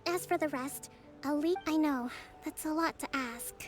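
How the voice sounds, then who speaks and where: Woman playing a high-voiced, composed young priestess leader. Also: soft background music, natural voice. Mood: calm, considerate. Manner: formal, measured, precise. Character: composed young divine priestess leader, fair but firm. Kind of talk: video game voice acting.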